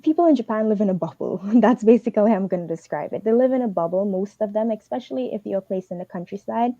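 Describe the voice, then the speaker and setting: Woman giving quick earnest advice. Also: mid-range voice, lively intonation, warm tone, dry recording, dull audio. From Trinidad and Tobago. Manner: fast, earnest, advising directly. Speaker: English teaching assistant. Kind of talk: livestream advice talk.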